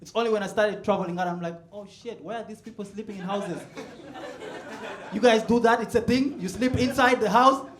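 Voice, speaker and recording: A man works a stand-up set in a comedy club. His voice sits fairly high, and he keeps chuckling as he pushes toward loud punchlines. The sound is roomy, like a live club. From South Sudan. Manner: laid-back, chuckles at his own jokes, builds to loud punchlines.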